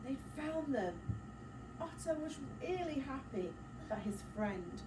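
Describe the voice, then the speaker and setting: A woman's voice: high voice, slow. A woman teacher reading a picture book aloud to children, expressively, breaking off for encouraging questions and asides. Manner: expressive, dramatic gasps, encouraging prompts. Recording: roomy, distant mic, clear background noise.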